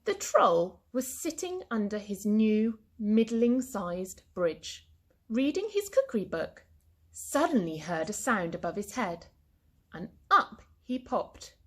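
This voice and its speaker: A woman reading a children's story aloud in an expressive, deliberate way, like a primary school teacher. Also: medium pitch. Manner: animated, deliberate.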